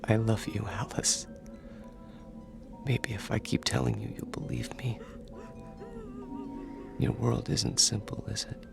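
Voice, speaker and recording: A lonely, childlike man in a radio drama. He speaks slowly and tenderly in a breathy half-whisper, with clear music behind him. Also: lowish voice, steady tone, exaggerated voice. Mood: tender, wistful. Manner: tender, halting, confiding. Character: lonely, gentle, childlike grown man in love. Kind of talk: old-time radio drama acting.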